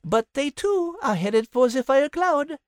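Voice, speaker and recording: Elegant French female character host for a story podcast, high, animated, gently teasing. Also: a little slow, dry recording, clean studio sound. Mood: poised, teasing. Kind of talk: scripted podcast character hosting.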